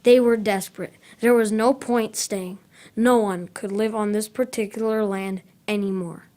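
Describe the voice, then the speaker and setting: A woman's voice: medium pitch, fairly even intonation, normal speed, darkish tone, lightly acted. A young female narrator reading scripted storytelling for a school radio drama. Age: child or young performer.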